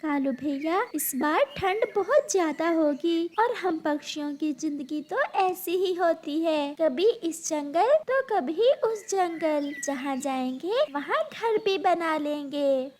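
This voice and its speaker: Woman voicing a high-pitched motherly cartoon sparrow. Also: animated, takes it slowly, few pauses, a little mellow, exaggerated voice. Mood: reassuring. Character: kind, sensible mother sparrow, patient and reassuring. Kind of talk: Hindi children's cartoon story dubbing.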